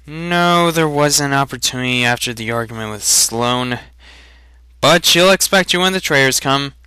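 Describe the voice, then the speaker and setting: Man acting earnest teenage farm boy, crisp voice. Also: medium pitch, moderate pace, bright, forceful tone, no room sound, natural voice.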